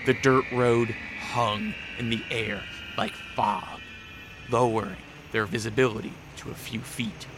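A man's voice: medium pitch, steady tone, unhurried. A man narrating a scripted radio drama in the role of storyteller. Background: music.

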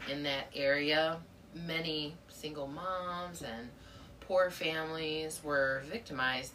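Low-voiced woman, slightly distant mic. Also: sharp tone. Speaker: podcast co-host. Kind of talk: casual book club chat. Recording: distant mic with faint noise.

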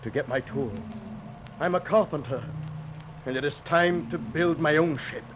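An actor playing a grim, fatalistic ship's carpenter with an ominous, portentous delivery in a 1940s radio drama. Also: male voice, fairly high pitch, measured pace, fairly bright tone. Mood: grim foreboding. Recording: noisy old 1940s radio recording.

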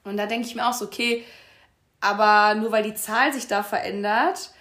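A woman in her late twenties talks casually on a podcast. She is reflective and laughs easily. Her voice is middle-pitched and carries a noticeable room echo. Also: steady tone, moderate pace, fairly bright tone. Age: 20s. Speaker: podcast co-host. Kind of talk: casual chat. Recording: roomy echo.

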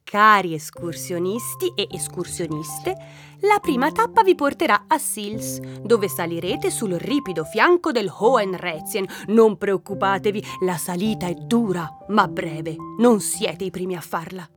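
A woman's voice: medium pitch, expressive, measured pace, over music, lightly acted. An actress playing a chatty, scatterbrained woman guide who improvises theatrically in a hiking audio drama. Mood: welcoming. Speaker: tour guide narrator.

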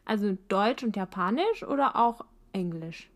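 A woman's voice: medium pitch, expressive. A young woman interviewing a guest in casual talk, encouraging and often murmuring agreement. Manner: encouraging, quick to nod along. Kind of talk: casual conversation.

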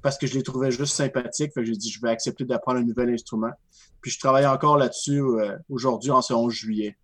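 Husky Quebec French man, dry humor. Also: mid-range voice, fairly even intonation, fast. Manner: dry, self-mocking humor. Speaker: banjo player in a band. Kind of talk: joking interview chat.

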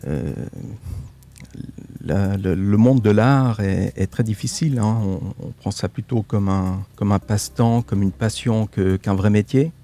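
Hesitant man with a mellow voice. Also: on the low side, unhurried. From Switzerland. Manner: hesitant, repeats words, soft trailing phrases. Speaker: actor, studio guest. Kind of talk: radio interview.

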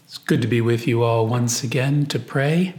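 A man with a mid-pitched voice leads a guided meditation as a priest would. He speaks in a reflective, prayerful way, taking his time through prayer and scripture. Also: moderate pace, darkish tone.

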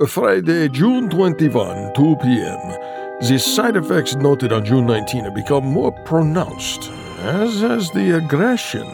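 An actor playing an anxious, hesitant doctor of science with a halting manner, in a campy audio drama. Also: male voice, a little high, very animated, gravelly, music in the background, full character voice. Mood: brooding. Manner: slow, careful, halting.

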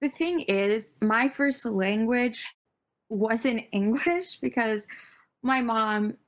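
A woman's voice: fairly high pitch, slow pace. Bubbly, enthusiastic young woman. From United States (born Virginia, raised Connecticut). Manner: bubbly and enthusiastic, laughs often. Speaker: podcast guest. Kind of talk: casual podcast chat.

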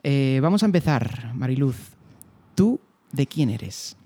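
A man from hosts a relaxed podcast interview. His voice is gravelly and dark. He asks his questions in an easygoing, unhurried way. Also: mid-range voice. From Spain. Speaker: podcast host. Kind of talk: casual interview chat.

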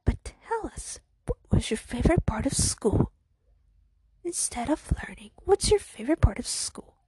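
A woman performs a psycho schoolgirl from a told story. The high voice drags slowly and tauntingly, creepy and menacing, sometimes dropping into a whisper. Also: sing-song, dark tone, slight background noise, poor audio. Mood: creepy coaxing. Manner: slow, taunting, eerie, partly whispered. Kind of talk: acted storytelling.

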